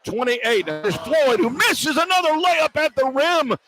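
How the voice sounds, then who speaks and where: A man doing live radio basketball play-by-play, describing the action in short, clipped phrases that build toward excited calls. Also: very high voice, measured pace, soft background music. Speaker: play-by-play announcer. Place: broadcast position at an indoor arena.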